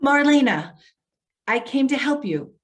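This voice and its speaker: A woman's voice: high-pitched, animated, measured pace, fairly bright tone. Pleading, earnest female social worker played by an actress. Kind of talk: staged reading of a play.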